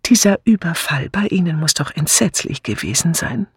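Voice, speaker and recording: A man voicing a friendly, chatty old lady in an audiobook, gentle, polite and curious. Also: fairly high pitch, animated, moderate pace, slightly rough, dry recording, studio quality, voice pushed up. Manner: gentle, polite, slightly gossipy.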